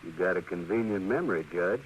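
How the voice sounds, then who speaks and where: A man's voice: deep voice, expressive, quick pace. A man playing a bitter, menacing ex-convict in a radio western, speaking in a hard, insistent, threatening way. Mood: accusing. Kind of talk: 1950s radio western acting. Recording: dull, noisy old radio recording.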